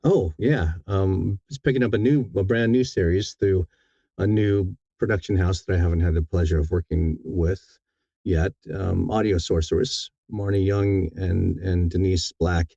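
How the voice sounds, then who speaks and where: A man's voice: deep voice, moderate pace, vocal fry, warm tone, no room sound, dull audio. A man talking casually as an interviewed audiobook narrator, rambling and correcting himself with enthusiasm.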